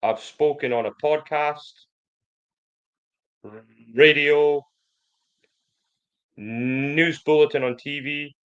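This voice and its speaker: A man who stammers gives a prepared personal testimony in slow, deliberate, controlled speech, with occasional blocks on sounds. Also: a little high, fairly even intonation, clear, resonant tone, narrow, tinny audio. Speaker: programme member giving a testimonial.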